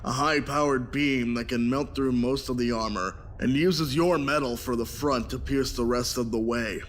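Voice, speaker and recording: A man's voice: medium pitch, moderate pace, lightly acted. A man playing a brooding, careworn ship captain in an audio drama. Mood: pensive, preoccupied.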